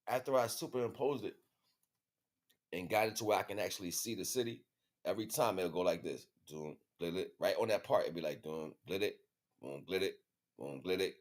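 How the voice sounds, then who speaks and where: A man's voice: low voice, a little fast. An American man speaking in a casual, rambling livestream monologue, slangy and repetitive, often checking that listeners follow. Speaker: livestream host.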